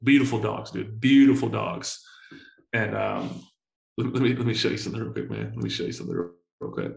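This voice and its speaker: A man in his forties telling stories in a casual interview, full of slang and swearing, excitable and often repeating himself. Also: lowish voice, a little fast, vocal fry, echoey room. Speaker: entrepreneur guest.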